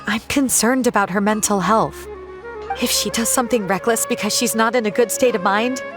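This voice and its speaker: A woman's voice: fairly high pitch, moderate pace, lightly acted. Woman acting an anxious, loving mother. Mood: worried. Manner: worried, earnest, apologetic. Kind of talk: video game character acting. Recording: music under the voice.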